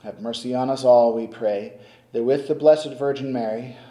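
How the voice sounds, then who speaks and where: Older male priest, measured and solemn liturgical delivery, warm tone, reverberant church sound. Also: mid-range voice, steady tone. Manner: slow, solemn, measured intoning. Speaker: priest celebrating Mass. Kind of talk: liturgical prayer recitation. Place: stone-walled church sanctuary at the altar. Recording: echoey church.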